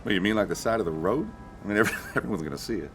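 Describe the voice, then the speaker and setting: An affable husband in an audio drama, his voice on the low side and lively in its swings. He is folksy and teasing, with a quietly probing edge, over a music bed. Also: racing. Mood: teasing, casual. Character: affable married man offering a hitchhiker a lift, quietly probing. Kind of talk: naturalistic audio drama acting.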